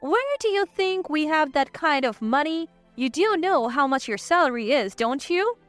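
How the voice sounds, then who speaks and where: Female narrator as defiant wife, sarcastic, firm, lively high voice, audio story. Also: normal speed, dry recording, soft background music. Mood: exasperated. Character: sharp-tongued, defiant young mother and wife.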